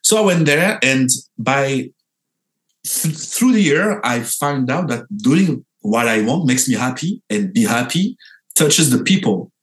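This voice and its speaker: A young man with a French accent, a dancer telling his story in an interview, animated and full of halting restarts. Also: low-quality recording. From France. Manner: animated, lively pitch jumps, halting restarts.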